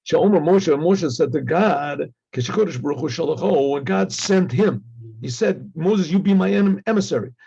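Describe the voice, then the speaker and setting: A man with a husky, mellow voice teaches a religious lesson in a conversational way, on slightly dull audio. He reads Hebrew lines, translates them, and repeats himself for emphasis as a rabbi would in class. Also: fairly high pitch, moderate pace. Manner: conversational, acts out dialogue, repeats phrases, frequent throat clearing.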